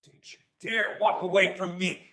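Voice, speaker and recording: A man's voice: high-pitched, steady tone, moderate pace, bright, forceful tone, dry recording, studio quality. A man playing an angry, arrogant rich ex-husband in an audio drama, menacing and scornful. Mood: furious.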